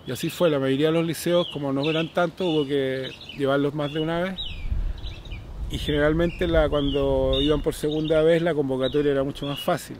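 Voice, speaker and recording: Middle-aged Chilean man, higher-pitched voice. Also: fairly even intonation, fairly quick. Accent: Chilean Spanish. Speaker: programme production staff. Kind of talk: documentary interview testimony. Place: garden outdoors, seated interview. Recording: faint noise with birdsong.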